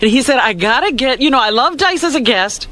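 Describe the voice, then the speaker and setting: A woman's voice: a little high, animated, fairly quick, a loud crowd. A woman co-hosting a radio show, chiming in with short remarks in casual banter. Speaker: radio co-host.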